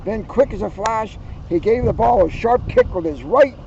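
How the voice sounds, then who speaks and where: Male storybook reader, high register, playful emphatic delivery, slightly dull outdoor recording with vehicles behind. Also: big pitch swings, normal speed, vocal fry, a little mellow. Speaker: police officer reading a children's book aloud. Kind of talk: read-aloud storytelling with joking asides. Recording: muffled, outdoors with vehicle noise. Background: outdoor ambience, passing cars.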